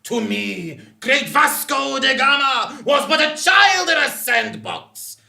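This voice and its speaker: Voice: sits high, animated, slow pace, vocal fry, sharp tone, roomy sound, shouting, full character voice. A performer playing a pompous, vain, bumbling male conquistador in a live comic stage show, theatrical and boastful. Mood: boastful, grandiose. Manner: boastful, theatrical, flustered stammering.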